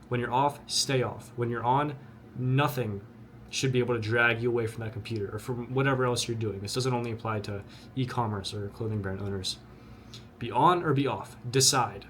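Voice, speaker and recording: A young man of twenty talks to the camera with blunt, earnest conviction, often repeating words as he pushes his point. His voice sits at an ordinary middle pitch. A faint hum of ambience runs behind him. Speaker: vlogger. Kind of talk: motivational talk to camera.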